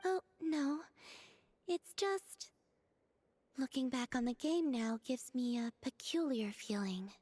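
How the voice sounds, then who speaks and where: A woman playing a young moon goddess in a video game, gentle and wistful, speaking in measured, reflective lines. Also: high voice, no echo. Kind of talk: scripted video game dialogue.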